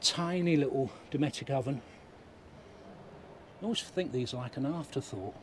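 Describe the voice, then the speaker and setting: A man's voice: medium pitch, moderate pace. Chatty man touring a van. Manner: chatty, thinking aloud, mild remarks. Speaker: motorhome vlogger. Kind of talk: casual walkaround commentary. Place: inside a motorhome at an indoor trade show. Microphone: handheld camera mic. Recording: noisy exhibition hall.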